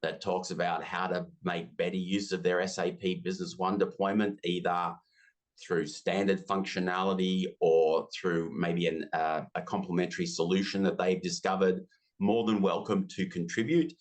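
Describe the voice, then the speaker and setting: A deep-voiced Australian man in his fifties talks casually in an interview. He is chatty and self-deprecating and slips into quick asides. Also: flat delivery. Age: 50s. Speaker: interview guest, business software community founder.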